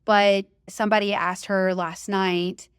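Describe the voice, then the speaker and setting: A woman who hosts a running podcast, talking as an interview guest in a loud, emphatic, enthusiastic way and laughing easily. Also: medium pitch, little pitch movement, a little slow, smooth, no echo, studio quality. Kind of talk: casual interview chat.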